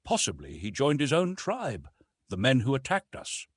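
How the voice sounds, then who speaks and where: Man playing a formal, jealous gentleman, swooping pitch. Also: huge pitch swings, normal speed, husky, no echo, clean studio sound. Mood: quiet, thoughtful. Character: chivalrous but jealous gentleman, formal and sneering.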